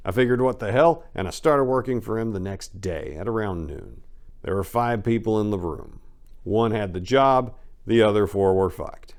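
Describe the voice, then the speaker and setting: A man's voice: lowish voice, a little mellow. A man giving a dramatic reading of a first-person story as an audiobook narrator, in a colloquial, salty and emphatic way.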